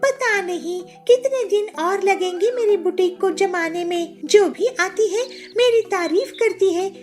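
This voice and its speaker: A woman's voice: very high voice, unhurried, voice pushed up. A woman voicing a humble, earnest young female bird in a children's cartoon moral story, speaking politely. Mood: worried, frustrated.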